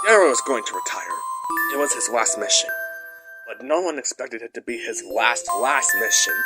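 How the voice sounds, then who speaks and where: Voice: mid-range voice, lightly acted. An actor voicing a serious adult male alligator spy detective in a cartoon fandub, with music behind.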